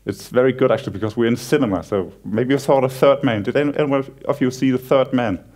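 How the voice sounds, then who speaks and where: Danish man, 30s, conference speaker on stage in a cinema hall, easygoing and joking, stumbles a bit. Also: medium pitch. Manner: relaxed, self-deprecating humor with frequent halting restarts. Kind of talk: conference talk, casual and joking.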